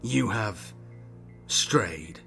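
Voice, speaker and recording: Righteous young inquisitor, voiced by a male narrator: stern, accusing, forceful delivery with a gritty low edge, audiobook fantasy. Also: lively intonation, drawn-out words, creaky, soft background music, exaggerated voice. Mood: grave. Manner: firm, forceful, declamatory.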